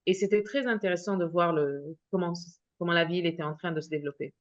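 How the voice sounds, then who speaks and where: A woman speaking as a panelist on a video call, halting and restarting often. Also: on the low side. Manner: halting, many restarts and fillers. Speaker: webinar panelist. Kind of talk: hesitant casual remarks. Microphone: video call microphone. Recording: dull lo-fi video call audio.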